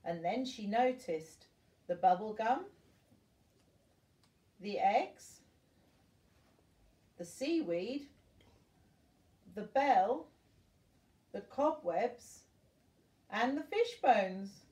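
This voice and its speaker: A woman's voice: medium pitch, expressive, extremely slow, long pauses, mellow. An older woman reading a storybook aloud to young children, expressively, like a grandmother at bedtime, with little asides to her listeners. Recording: roomy echo, distant from the mic.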